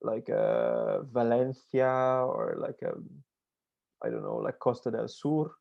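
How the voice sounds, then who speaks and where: Gravelly man, slow and rambling. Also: mid-range voice, wildly swooping pitch, dull audio. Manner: slow, meandering, lots of "like" and restarts. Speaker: co-host of a translation livestream. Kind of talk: casual chat on a livestream.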